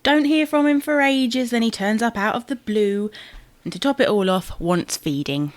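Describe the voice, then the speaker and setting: A woman's voice: fairly high pitch, moderate pace, no room sound, natural voice. A woman playing an exasperated, sarcastic wife who distrusts technology in a domestic comedy audio drama. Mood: irritated, sarcastic. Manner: exasperated, sardonic. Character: nagging, sarcastic, technology-averse wife suspicious of her husband.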